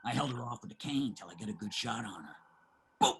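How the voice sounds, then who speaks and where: Man acting a gravelly, combative street gossip. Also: lowish voice, lively intonation, fairly quick, fairly bright tone, a little hiss or hum. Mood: boastful. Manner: combative, crude street talker. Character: brash, boastful, gossiping homeless ex-merchant-marine man. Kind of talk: theatrical table reading of a stage play.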